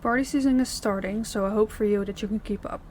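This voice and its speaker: Woman playing a female crime boss, authoritative, measured, mid-pitched, audio roleplay, faint background noise. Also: a little mellow, lightly acted. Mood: businesslike, commanding.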